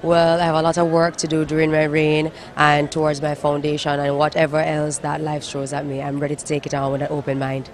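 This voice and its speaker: A hoarse young woman with a raspy voice, a pageant contestant answering an interviewer graciously. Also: sits low, completely flat delivery, brisk, few pauses. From Jamaica.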